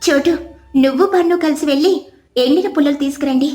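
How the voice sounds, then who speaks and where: A woman's voice: high voice, quick pace, dark tone, reverberant, natural voice. A woman voicing a kindly female bird leader in a cartoon, encouraging and giving directions to others. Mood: directing.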